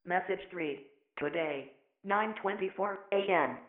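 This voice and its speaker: A woman's voice: on the low side, slow pace. A woman voicing an impersonal answering-machine announcer, with a noisy, tinny, echoey playback sound. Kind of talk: automated message announcement.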